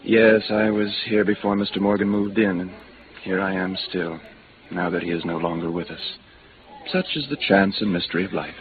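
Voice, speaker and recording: A man's voice: deep voice, flat delivery, moderate pace, narrow, tinny audio, lightly acted. Guy acting snooty polite bartender in old radio play, formal flowery talk, noisy thin recording. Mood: formal, unruffled. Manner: pompous, formal, flowery wording. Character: pompous, courteous, discreet male bartender at an exclusive bar. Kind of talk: 1950s radio drama acting.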